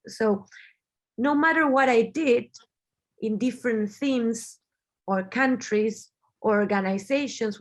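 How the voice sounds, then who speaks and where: A woman in her thirties from Argentina, giving an earnest online talk over a video call, often restarting her phrases and filling pauses. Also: mid-range voice. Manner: earnest, halting, many restarts and fillers. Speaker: webinar speaker. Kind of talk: online presentation.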